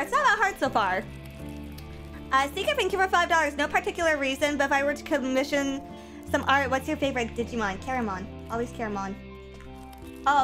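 A woman streaming a game in casual chat, a bit stuffy from a cold, going from low mumbles to loud excited yelling. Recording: game music behind.

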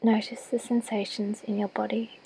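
A woman leads a guided body scan meditation in a soft, breathy near-whisper, giving gentle, measured instructions with long pauses. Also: mid-range voice, level tone, a little hiss or hum, poor audio. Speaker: clinical psychologist leading a guided meditation.

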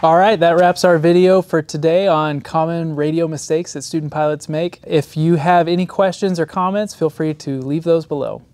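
A man's voice: fairly high pitch, steady tone, normal speed, few pauses, darkish tone, no room sound. A man in his thirties giving a plain-spoken instructional presentation as a flight instructor, recorded on a lapel mic indoors. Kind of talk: scripted instructional presentation to camera. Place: indoor studio set, seated.

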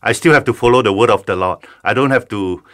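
A man who is a pastor, speaking haltingly in a studio interview with many restarts and repeated words. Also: fairly low pitch, fairly bright tone. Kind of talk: conversational interview.

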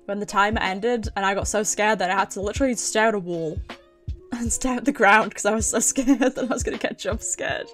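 A woman's voice: medium pitch, a little fast. A British woman streamer chatting casually and expressively with her viewers, swearing freely. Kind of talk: casual livestream chat. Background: background music.

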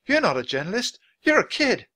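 A man's voice: high-pitched, huge pitch swings, fairly quick, sharp tone, voice pushed up, exaggerated voice. A man voicing a flustered grown woman guide in a storybook, bossy but caring, exclaiming and stammering when shocked. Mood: shocked, frantic.

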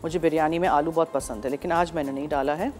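A woman's voice: sits low, steady tone, quick pace, a little mellow, faint music behind. A middle-aged woman with a Pakistani accent hosts a TV cooking show from a studio kitchen, mixing Urdu with English as she cooks. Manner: chatty, switches freely into English.

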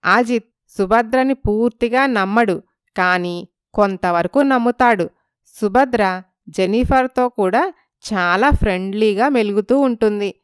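A woman's voice: medium pitch, unhurried, smooth, dry recording, clean studio sound. A woman narrating a scripted dramatic story as its storyteller.

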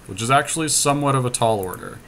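A man giving casual game commentary with a friend, teasing and thinking his moves out loud. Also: mid-range voice, fast talker, slight background noise. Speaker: podcast host playing an online card game.

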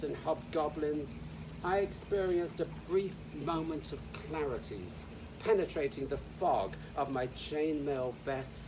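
A man in his sixties or older reads a whimsical storybook aloud in a theatrical, deliberate manner. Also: fairly high pitch. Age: 60s or older. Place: outdoors in a sunny garden. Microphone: camera a few feet away. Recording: outdoor hiss with insect noise.

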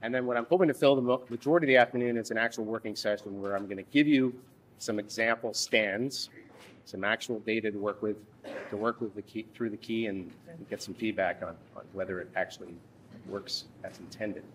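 A man in his thirties leading a workshop from a lectern in a conference room, speaking haltingly with frequent restarts. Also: lowish voice, husky, rough recording. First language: English. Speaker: workshop instructor. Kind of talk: workshop presentation.

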